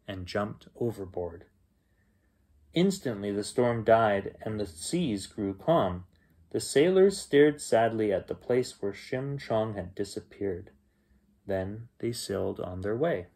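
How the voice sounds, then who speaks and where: Man, middle-aged, husky voice, animated children's storytelling. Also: on the low side. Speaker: children's bedtime story reader, host. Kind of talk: expressive read-aloud storytelling. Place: small bedroom at home, indoors.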